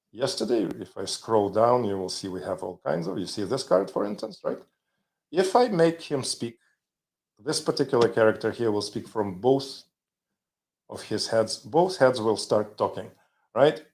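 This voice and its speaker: A man's voice: on the low side, creaky. A man hosting a live show, rambling and chatty with joking asides. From Ukraine. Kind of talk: casual live-stream demo.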